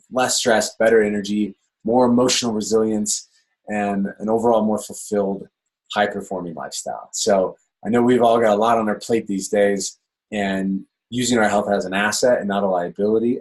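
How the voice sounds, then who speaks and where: A fairly low-voiced man coaching viewers on health in a loose, chatty webinar. His voice is slightly raspy from a long session, and he keeps adding fillers and restarting his sentences. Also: rough recording. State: slightly raspy from talking a lot. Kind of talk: casual webinar pitch.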